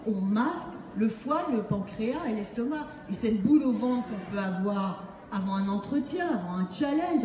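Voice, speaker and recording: A middle-aged woman with a slightly high voice gives a playful public presentation. She talks through a stage microphone, and the hall rings around her. There is faint background noise. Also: dark tone, recorded from a distance. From Montreal, Canada. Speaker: author and acting-based speaking trainer. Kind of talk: humorous public book presentation. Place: on stage in a large book fair hall. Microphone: handheld microphone through PA, recorded by distant camera.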